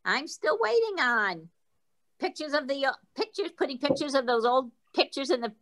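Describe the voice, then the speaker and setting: A woman's voice: high voice, sing-song, normal speed, a bit bright. A woman playing a grouchy, blunt elderly great-aunt in a comic staged reading, complaining and dryly needling. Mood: sceptical.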